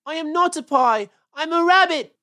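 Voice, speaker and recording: A woman voicing a frightened, stubborn little boy rabbit in a children's storybook, wailing and yelling in protest. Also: sits high, fairly quick, pitched above the performer's own voice, close to an everyday voice. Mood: wailing, upset.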